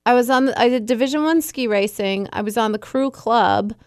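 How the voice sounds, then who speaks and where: A woman co-hosting a casual chat podcast, chatty and quick to laugh. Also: a little high, darkish tone, dry recording, studio quality. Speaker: podcast co-host.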